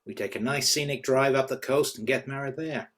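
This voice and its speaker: A man's voice: mid-range voice, brisk, a bit bright, lightly acted. A man playing a cocky, earnest young man in love in a script table read. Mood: eager, sincere.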